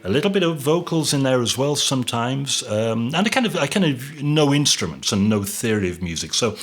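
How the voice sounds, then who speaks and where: A man's voice: medium pitch, lively intonation, a little fast. A middle-aged man from England, a drummer and music teacher, chatting casually from home with rambling, self-deprecating asides and frequent restarts. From Yorkshire, England. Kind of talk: casual interview chat. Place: at home on a sofa.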